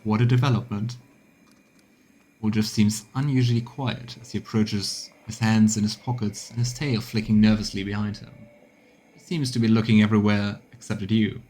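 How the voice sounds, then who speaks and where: A man's voice: on the low side, fairly even intonation, normal speed, darkish tone, low-quality recording. A man streaming a game, reading story text aloud and adding casual asides. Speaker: streamer narrating a let's play.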